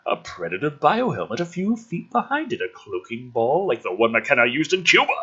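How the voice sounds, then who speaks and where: A man with a forceful, raspy voice reads a script aloud with lively, swinging pitch. He drops into casual, exasperated commentary with plenty of swearing. The recording sounds slightly dull and lo-fi. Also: fairly quick. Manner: sardonic, sweary, exasperated asides. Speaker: host reading and critiquing a film script draft.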